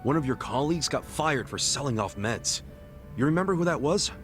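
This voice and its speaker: An actor playing an earnest, excitable, loyal friend in a video game, with an eager, informal way of talking. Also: male voice, mid-range voice, fairly quick, faint music behind, close to an everyday voice. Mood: earnest, probing. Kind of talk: dramatic game cutscene acting.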